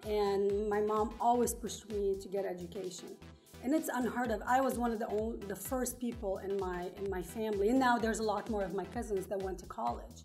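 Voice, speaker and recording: A woman's voice: medium pitch, fairly even intonation, brisk, darkish tone. Interview guest: woman, middle-aged, from Lebanon, Arabic and French native. Conversational storyteller who acts out bits of dialogue, faint music underneath. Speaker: interview guest, engineer turned small business owner.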